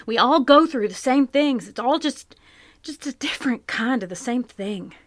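An earnest, plainspoken farm wife full of regret, as an actress plays her, in a radio play. Her voice is close and dry, and it often sinks to a hushed whisper. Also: on the high side, lively intonation, normal speed, no room sound, natural voice. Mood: anguished. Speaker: Mrs. Hale, farm wife. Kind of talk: live radio play acting. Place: home closet.

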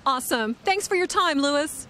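A middle-aged woman reporter interviewing tourists outdoors with a handheld mic, speaking slowly and clearly for learners. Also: sits high, animated, sharp tone. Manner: upbeat, enunciates carefully. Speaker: reporter host of an English lesson. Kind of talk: slow, clear scripted interviewing. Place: outdoors on city streets. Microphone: handheld microphone.

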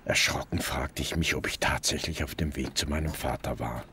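A man's voice: a bass voice, fairly even intonation, moderate pace, pushed and bright, slight background noise. An actor playing a sober, weary middle-aged police detective in a radio play, dry and restrained as he tells and acts his story. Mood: sober narrating. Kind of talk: first-person narration and radio play dialogue.

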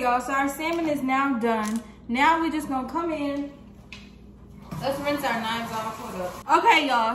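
A young American woman casually demonstrating cooking to viewers in a chatty, slangy way, recorded in a home kitchen. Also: a little high. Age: young adult. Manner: informal, chatty, folksy slang. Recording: echoey kitchen with noticeable background noise.

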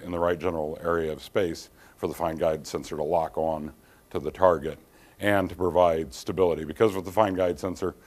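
Low-voiced man giving a technical keynote. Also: darkish tone. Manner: measured, frequent ums and restarts. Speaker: keynote speaker, engineer. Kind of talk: technical conference presentation. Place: dim conference hall, standing by projector screen.

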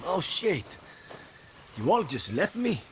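A man's voice: fairly high pitch, very animated, slow, background noise, full character voice. An actor playing a cocky, wisecracking male guerrilla fighter who swears and drops Spanish words, in video game dialogue.

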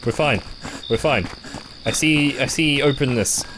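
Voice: mid-range voice, unhurried, background noise. A jumpy, sarcastic gaming YouTuber commentating on a horror game playthrough and reading on-screen text aloud. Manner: jumpy, sarcastic, gasps and cries out when startled.

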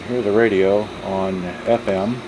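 A man's voice: fairly low pitch, a little slow. A middle-aged man giving a casual hands-on product demonstration, rambling and thinking aloud in an offhand way. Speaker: gadget reviewer. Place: indoors at a table, handling devices. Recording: noisy room with steady background hum.

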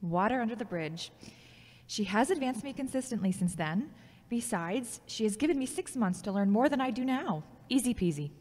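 Woman as ambitious radio journalist, teasing, warm, audio drama, hall reverb. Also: on the high side, animated, normal speed. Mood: excited.

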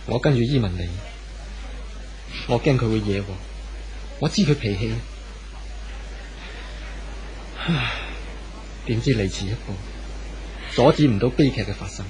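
Man playing steadfast tender suitor, Hong Kong Cantonese accent, middle pitch, reassuring, 1970s radio drama, slight noise. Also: normal speed, long pauses, darkish tone, close to an everyday voice, poor audio. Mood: regretful, calm. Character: gentle, steadfast, protective romantic leading man.

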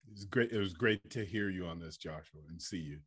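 A man's voice: low voice, brisk, a bit bright, husky. A middle-aged man replying casually and appreciatively on a video call as the writer. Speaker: screenwriter on a panel.

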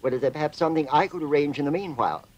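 Actor as polite, lightish-voiced town clerk. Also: male voice, a little high, very fast, dull audio, lightly acted. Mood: polite, apologetic. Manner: polite, hesitant. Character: polite, mild, obliging male town clerk.

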